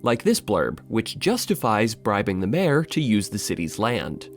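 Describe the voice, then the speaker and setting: Wry man narrating with lively, animated pitch. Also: a little slow, faint music behind. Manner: wry, sardonic, casually sweary. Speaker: video essay host. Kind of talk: scripted video essay narration.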